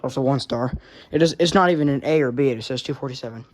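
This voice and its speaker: A man's voice: mid-range voice, quick pace. A man giving a casual, rambling tour of a collection, offhand and mildly profane. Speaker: radio collector showing collection. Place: indoors among working radios. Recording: clear background noise with clicks.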